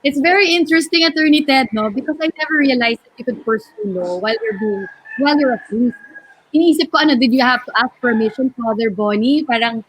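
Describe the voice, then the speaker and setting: A woman with a Filipino accent speaks in a high register as a talk show co-host. She switches between Tagalog and English and pauses often to find her words. Her questions come across as friendly. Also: a bit bright, slight background noise, low-quality recording. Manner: searching for words, many fillers, friendly questions.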